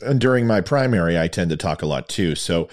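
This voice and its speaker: Male commentary host, lower register, lightly worn and scratchy, emphatic theatrical delivery. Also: animated, fairly quick. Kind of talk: opinion commentary monologue to an audience.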